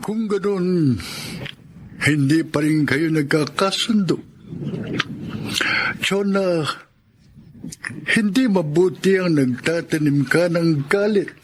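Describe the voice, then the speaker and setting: An actor playing a kindly, patient older father figure with a Filipino accent, gently admonishing in a radio drama. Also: on the high side, takes it slowly, pauses often, a little hiss or hum. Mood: gently concerned.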